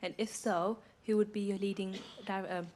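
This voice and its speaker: A woman's voice: mid-range voice, steady tone, normal speed, darkish tone. A woman, an audience member asking a casual, hesitant question. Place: theatre audience.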